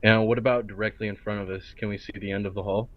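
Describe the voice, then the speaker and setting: A man playing a role-play game over online voice chat, casually and deliberately talking through each move. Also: lowish voice, fairly even intonation. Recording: dull, lo-fi voice chat audio.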